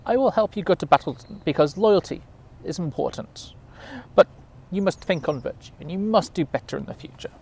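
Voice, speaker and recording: A man voicing a small, brave mouse in a storybook tale, speaking high and squeaky as it scolds. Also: expressive, fairly quick, gravelly, darkish tone, husky, exaggerated voice. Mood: firm.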